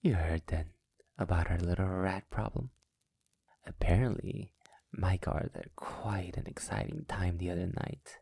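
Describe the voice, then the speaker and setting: A sadistic male vampire master, acted by a man for ASMR roleplay, murmurs slowly and very close in a deep voice. The tone is husky and breathy, silky and possessive, with menace under the formal, teasing endearments. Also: mellow, no echo, heavily acted. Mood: cold, gloating. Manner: slow, teasing, often dropping into breathy whispers. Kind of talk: ASMR roleplay, intimate scripted monologue. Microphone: close mic in a dry, quiet space.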